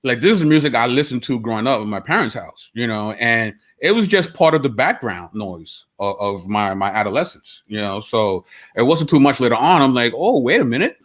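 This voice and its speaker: A man from Brooklyn co-hosts a relaxed podcast discussion. He speaks at an ordinary pitch. He reads source passages aloud and often stops to correct himself. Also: moderate pace. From United States (Brooklyn). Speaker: podcast co-host.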